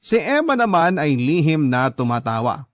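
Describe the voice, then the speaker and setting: A man's voice: a little high, sing-song, moderate pace, dry recording, studio quality. A man with a Filipino accent giving scripted first-person narration for a radio drama.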